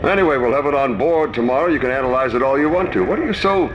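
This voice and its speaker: Actor as zealous captain, dull noisy sound. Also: male voice, mid-range voice, animated, fast talker, lightly acted. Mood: dismissive, persuasive. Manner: self-assured, condescending. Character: zealous, dismissive ship captain, devout believer. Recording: dull, noisy ship ambience.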